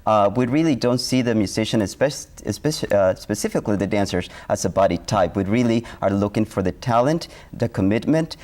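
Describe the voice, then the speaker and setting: Man, low voice. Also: expressive, brisk, hardly any pauses, a little mellow. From Honduras. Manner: earnest, with frequent fillers and restarts. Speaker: dance company artistic director. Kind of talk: studio TV interview guest. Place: TV studio.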